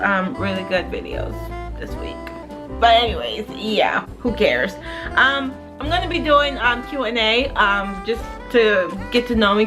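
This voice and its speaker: Laid-back woman vlogging, music behind. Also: a little high. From Jamaica. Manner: laid-back, chatty, many fillers. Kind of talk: casual Q and A vlog.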